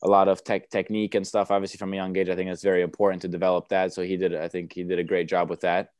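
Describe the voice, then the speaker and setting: A young man with a very low, even voice talks through his answers, stopping and restarting often. His tone is smooth and resonant. The sound is dry and close, with little room around it. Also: very fast, no echo. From United States. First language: Romanian. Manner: relaxed, many fillers and restarts. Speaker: tennis player guest. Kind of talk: interview answers.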